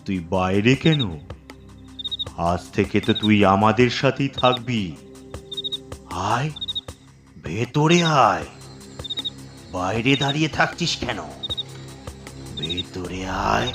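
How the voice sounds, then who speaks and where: Man playing ghoulish horror-story uncle, lowish voice, extremely slow, ominous coaxing, music underneath. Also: lively intonation. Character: sinister middle-aged uncle, ghoul luring boy inside. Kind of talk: horror audio drama acting.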